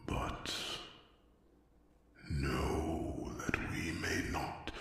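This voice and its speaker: A man voicing an ancient dragon king in a fantasy audio roleplay, regal and solemn, with a gravelly growl and long rumbling pauses. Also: steady tone, full character voice. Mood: stern, solemn. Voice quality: very deep, gravelly, breathy growl. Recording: cavernous long reverb, distant from mic.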